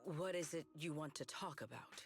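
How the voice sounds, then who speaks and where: A woman's voice: deep voice, normal speed, gravelly. A woman playing a stern, skeptical officer in a sci-fi video game, speaking in a formal, cool and guarded way. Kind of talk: scripted video game voice acting. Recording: game music behind the voice. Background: background music.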